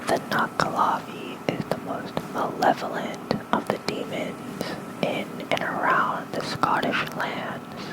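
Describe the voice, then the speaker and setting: An ASMR creator softly whispering a bedtime lore reading with casual asides, gentle and breathy, close on a fluffy-covered mic. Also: low-quality recording. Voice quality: soft breathy unvoiced whisper. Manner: slow, gentle, unhurried.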